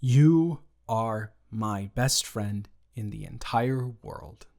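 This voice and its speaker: A man performing an improvised roleplay audio, speaking slowly and carefully with a soft, reassuring manner. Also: lowish voice, gravelly. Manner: slow, careful, measured speech with pauses.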